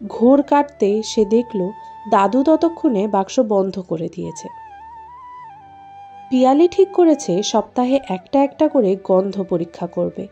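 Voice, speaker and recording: A woman's voice: a little high, big pitch swings, unhurried, dark tone, dull audio, faint music behind. A woman reading a scripted story as its narrator.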